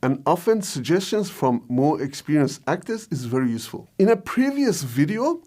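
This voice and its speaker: A man's voice: on the high side, very animated, normal speed, a little mellow. A man presenting a scripted explainer as a video host and filmmaker. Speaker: video host, short film director.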